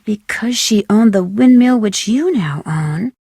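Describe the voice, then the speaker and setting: An American woman playing a curious, plucky, polite young detective in an adventure video game, with clear, inquisitive delivery. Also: mid-range voice, expressive, measured pace, dark tone, no room sound, clean studio sound. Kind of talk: scripted adventure game dialogue.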